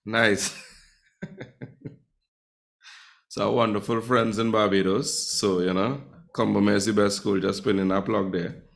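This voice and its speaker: A man's voice: on the low side, steady tone, unhurried, creaky, pauses often. Playful man teaching. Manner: playful, teasing, chatty with students. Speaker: Spanish teacher. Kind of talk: live online class.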